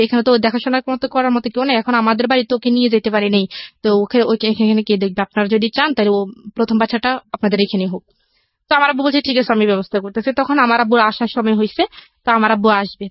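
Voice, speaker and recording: Fast-talking higher-pitched Bangladeshi woman. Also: no room sound, highs cut off. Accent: Bangladeshi Bengali. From rural Bangladesh. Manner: rambling, repetitive narration. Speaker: interview guest telling life story. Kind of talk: conversational personal storytelling.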